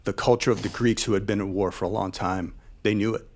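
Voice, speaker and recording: A man, a military veteran, giving earnest public remarks in measured, deliberate phrases with emphatic repetition. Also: on the low side, steady tone. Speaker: military veteran and former troop leader. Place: live event space at a panel discussion.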